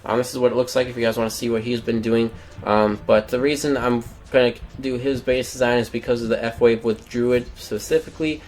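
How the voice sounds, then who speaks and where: A man's voice: medium pitch, steady tone, brisk, darkish tone. A young man casually commenting on his mobile game, rambling and filling gaps with frequent "um"s. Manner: rambling, many "um" fillers. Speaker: gameplay commentator.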